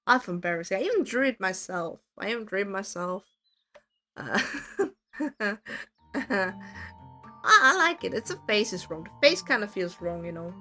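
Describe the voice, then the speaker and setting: A woman streamer chatting casually with her viewers, her voice worn hoarse from talking too much, rambling and self-deprecating. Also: mid-range voice, expressive, normal speed, pauses often, pushed and bright, faint music behind.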